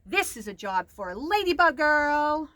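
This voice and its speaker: A woman's voice: sits high, measured pace, dry recording. A woman reads a children's picture book aloud expressively, leaning on key words and varying her volume. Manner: expressive, with emphasized words and varied dynamics. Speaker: storybook reader. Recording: clear background noise.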